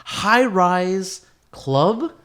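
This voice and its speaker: A man's voice: high voice, expressive, drawn-out words, fairly bright tone. A young man, a podcast host, chatting casually with friends in a boisterous, slangy way with plenty of swearing. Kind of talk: casual chat between friends.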